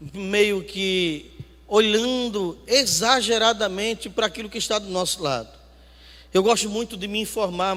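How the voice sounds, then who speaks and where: A man's voice: sits high, sharp tone. A man preaching as a pastor, emphatic and repeating phrases for weight. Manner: emphatic, repeats phrases for emphasis, swings between conversational and declamatory. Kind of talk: sermon. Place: church hall. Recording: echoey hall with long reverb.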